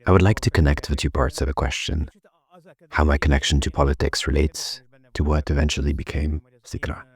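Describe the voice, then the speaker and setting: A man with a Hungarian accent speaks slowly and deliberately at a conference panel. His voice is extremely deep and husky, with very little rise and fall. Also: little pitch movement. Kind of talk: formal conference talk. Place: conference hall.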